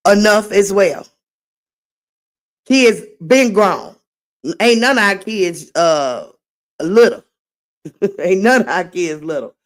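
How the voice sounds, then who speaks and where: A middle-aged woman with a slightly raspy voice, hosting a casual late-night livestream and reading comments aloud in a sassy way. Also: medium pitch. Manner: sassy, drawling, reads chat aloud. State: voice getting raspy. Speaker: livestream host.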